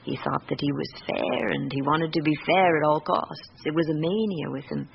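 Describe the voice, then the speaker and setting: A wounded, middle-aged British wife confides her grievances in a radio drama. She is candid and reflective, choosing phrases deliberately. The old recording is muffled, with slight noise. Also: low voice, steady tone, gravelly. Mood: bitter, reflective.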